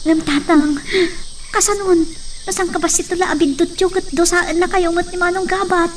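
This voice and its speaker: Emotional, worried peasant daughter, female actor, young and high-pitched, radio drama, faint background noise. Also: fairly even intonation, moderate pace. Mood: worried, pleading. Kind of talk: Ilocano radio drama acting.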